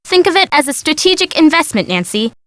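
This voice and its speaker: A woman's voice: high-pitched, very animated, brisk, fairly bright tone, no echo. An actress playing a bubbly, imaginative young woman in a video game, gushing and theatrical. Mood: teasing. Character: bubbly, dramatic, imaginative young woman, sleuth's best friend. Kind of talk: scripted video game dialogue.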